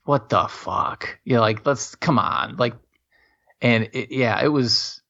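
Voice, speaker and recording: A man in his fifties chatting casually on a podcast, rambling and halting with lots of fillers. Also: mid-range voice, vocal fry, pushed and bright. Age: 50s. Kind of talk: casual chat. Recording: dull lo-fi sound.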